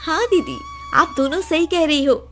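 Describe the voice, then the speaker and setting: A woman's voice: extremely high pitch, moderate pace, a bit bright, faint music behind. A woman voicing a humble young village dressmaker in a cartoon story, earnest and full of emotion. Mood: amazed.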